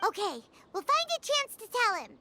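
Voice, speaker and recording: A woman voicing a small, childlike, excitable companion sprite in a video game, with a squeaky, eager delivery. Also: moderate pace, heavy background noise. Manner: exclamatory, eager. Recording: loud game music behind the voice.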